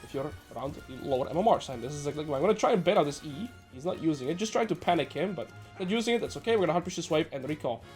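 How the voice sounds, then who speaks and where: A man talks quickly through gameplay like a coach, with a rather high voice. He sounds nasal and a little under the weather. Faint music plays behind him. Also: lively intonation, a little mellow. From Netherlands. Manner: brisk, explanatory, joking asides. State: slightly sick. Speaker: game coach. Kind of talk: gameplay commentary.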